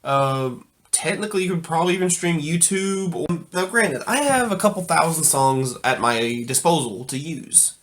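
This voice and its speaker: A man's voice: on the high side, expressive, normal speed, fairly bright tone. Enthusiastic man reviewing audio gear. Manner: enthusiastic, emphatic. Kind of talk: informal video product review.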